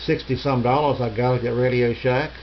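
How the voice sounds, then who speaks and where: A middle-aged man casually demonstrating gear as a hobbyist reviewer, unscripted and halting, often hesitating and clearing his throat. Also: medium pitch, steady tone. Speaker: amateur radio hobbyist reviewer. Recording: dull lo-fi sound with hiss.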